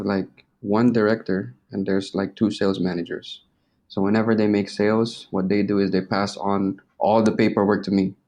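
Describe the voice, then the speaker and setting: Low-pitched young man, casual and slangy. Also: a little mellow. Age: 20s. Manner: informal, slangy, swearing. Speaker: interview guest. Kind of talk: casual interview chat.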